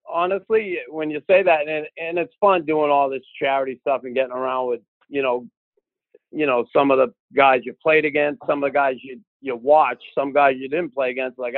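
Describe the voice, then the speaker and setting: An older man with a Boston accent, a guest on a podcast, telling stories in a gruff, casual way with frequent restarts. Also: medium pitch, dry recording. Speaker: podcast guest, former hockey enforcer. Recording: tinny phone-like line, dry.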